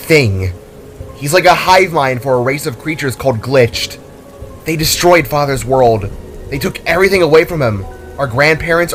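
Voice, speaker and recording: A man voicing a worried, bitter young female cousin who explains things haltingly and emphatically in a dramatic story. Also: fairly high pitch, a bit bright, voice pushed up.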